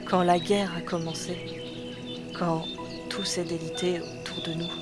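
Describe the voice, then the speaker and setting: Actress as ageless fantasy noblewoman, low register, restrained and formal, loud score underneath. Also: little pitch movement, unhurried, natural voice. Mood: sorrowful. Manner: poised, formal. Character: nostalgic, composed immortal woman on a grave mission. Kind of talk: scripted fantasy audio drama dialogue. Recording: loud music behind the voice.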